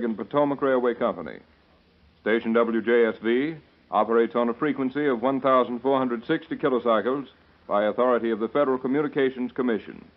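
A man with an American accent giving a formal, scripted station announcement in 1930s radio style. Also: mid-range voice. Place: radio studio. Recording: 1930s AM radio, phone-like narrow band.

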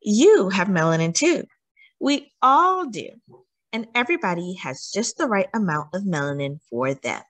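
A woman reading a children's picture book aloud as a story time host, with deliberate, teacherly emphasis and questions to young listeners. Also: mid-range voice, sing-song.

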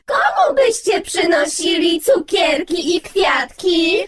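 A woman recites rhyming lines for a children's radio programme. Her voice is extremely high and she takes the verse slowly. Soft music sits behind her. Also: sing-song.

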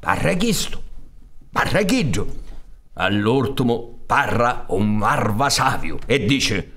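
Slow, hugely animated Sicilian storyteller, older man. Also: medium pitch. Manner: expressive, drawn-out, with repetitions for emphasis. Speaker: storyteller narrator. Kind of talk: theatrical oral storytelling of folk tales. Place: theatre stage set up for radio recording. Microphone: large studio condenser on stand.

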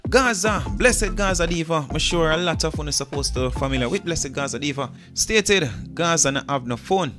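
A man's voice: a little high, animated, normal speed, few pauses. A man with a Jamaican accent hosting an entertainment news show, reading out stories and comments with his own commentary. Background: music.

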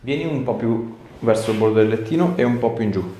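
A man's voice: mid-range voice, fast talker, mellow, slight background noise. A male chiropractor chatting casually with a patient and giving reassuring, coaxing instructions during treatment. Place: small indoor clinic treatment room. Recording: echoey small treatment room.

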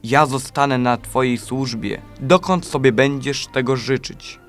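A man's voice: medium pitch, fairly even intonation, unhurried, fairly bright tone, soft background music, lightly acted. A man playing a devoted, earnest and courteous young prince in a fairy-tale radio play. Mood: sad, pleading. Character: devoted young prince searching for his brothers.

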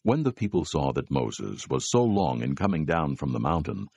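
A man narrates scripted scripture. His voice is low and gravelly, with animated rises and falls. It is recorded very dry and close. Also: moderate pace, dry recording.

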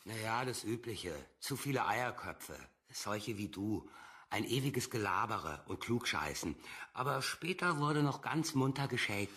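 A man's voice: lowish voice, animated, unhurried, pushed and bright. An actor playing a crude, blunt man of action in a radio play, teasing and colloquial. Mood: brash, teasing.

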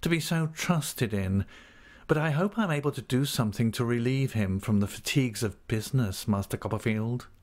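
A man's voice: medium pitch, normal speed, a little mellow, voice pushed up, close to an everyday voice. A man voicing a falsely humble, scheming clerk in an audiobook, meek, oily and obsequious. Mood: fawning gratitude. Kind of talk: audiobook character acting.

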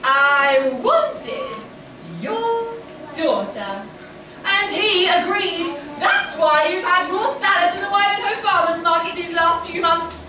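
A woman's voice: extremely high pitch, sing-song, takes it slowly, heavily acted. A woman playing a menacing, boastful, scheming witch in a stage pantomime, with a haughty, declamatory manner. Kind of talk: amateur pantomime stage acting. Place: stage in a small theatre. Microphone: distant camera. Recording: echoey theatre stage recorded from far, audience noise.

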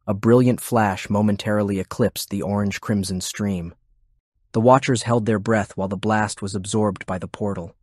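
A man's voice: fairly low pitch, dry recording, studio quality. A man reading scripted, dramatic narration as an audiobook narrator.

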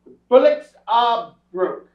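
A man's voice: very high-pitched, animated, takes it slowly, heavily acted. A man playing a blustery, exasperated rich family father in a staged radio comedy, curt and emphatic. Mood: exasperated, gloomy. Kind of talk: staged old-time radio comedy acting. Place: indoor theatre stage, distant camera. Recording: distant, echoey, dull theatre stage recording.

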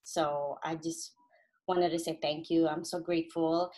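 A woman's voice: low voice, little pitch movement, warm tone, poor audio. A woman in her thirties with an Indonesian accent, speaking informally and hesitantly on a video call. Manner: hesitant, halting phrasing. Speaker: guest from a community group. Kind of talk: informal speech of thanks. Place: bedroom, indoors. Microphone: computer on a video call.

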